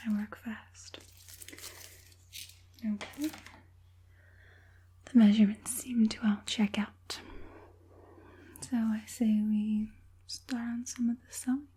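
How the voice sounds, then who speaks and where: A woman playing a softly menacing evil witch puppet master in an ASMR roleplay, whispering breathily with a coaxing, politely sinister manner. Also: fairly high pitch, fairly even intonation, takes it slowly, long pauses, breathy edge, full character voice. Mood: softly businesslike.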